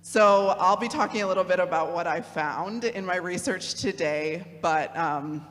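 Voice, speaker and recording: A friendly Midwestern woman in her thirties gives an informal lecture, chatty and quick to laugh, with plenty of ums. Her voice carries a long reverb from a large hall. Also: on the low side, a bit bright. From Michigan, US Midwest. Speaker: guest speaker, theologian.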